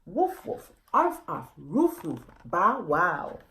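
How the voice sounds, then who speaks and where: A woman voicing storybook animal families who bark out a search call in loud, rhythmic chanted words. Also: lowish voice, sing-song, slow, heavily acted. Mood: urgent barking.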